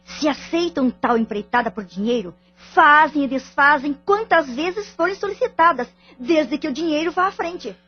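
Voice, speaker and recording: A woman's voice: high voice, animated, normal speed, hardly any pauses, dull audio. An actress with a Brazilian accent playing a wronged, firm wife and mother in an audio drama. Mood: bitter, sarcastic.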